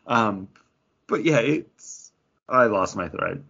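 A man co-hosting a podcast in casual chat, halting and full of fillers, often acting out imagined lines as he talks. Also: lowish voice, lively intonation, creaky, sharp tone, highs cut off. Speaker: podcast co-host reviewing comic books.

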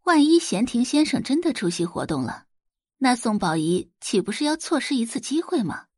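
A female performer acts a pushy middle-aged mother for an audio drama. She coaxes and exclaims with animated intonation and a husky tone. The sound is close and dry, her manner gossipy and emphatic. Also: on the high side, moderate pace, no echo. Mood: insistent.

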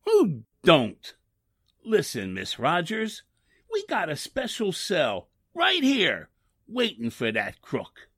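A man acts out a folksy, grumbling prison guard in an old-time radio play. The husky voice drawls slowly in rough working-class grammar, leaping widely in pitch. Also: huge pitch swings, a bit bright, no echo, studio quality, heavily acted. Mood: scornful, emphatic. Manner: drawn-out, ungrammatical working-class talk. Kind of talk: 1940s radio drama acting.

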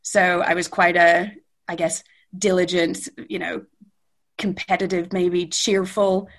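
Canadian woman, middle-aged, low gravelly voice, thoughtful, rambling unscripted talk. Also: flat delivery, unhurried, fairly bright tone. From Western Canada. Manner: thoughtful, meandering, self-correcting. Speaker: guest.

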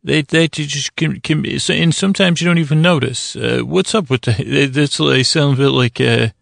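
A man with a gravelly, creaky voice delivers an improvised bedtime podcast monologue. He wanders through tangents, stops to correct himself and apologizes in a whimsical way. The recording is dry and close, with no room sound. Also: fairly high pitch, fairly bright tone, no room sound. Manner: meandering, halting, trails off into tangents and self-corrections. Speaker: podcast host.

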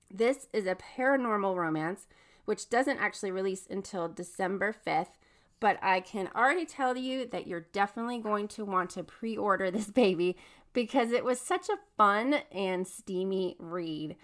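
A woman in her thirties hosts a casual podcast, her mid-range voice bubbly and eager. A cold leaves her voice a little raspy. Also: medium pitch, fairly bright tone. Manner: bubbly, chatty, enthusiastic. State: getting over a cold, slightly raspy. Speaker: podcast host. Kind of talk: casual book review chat.

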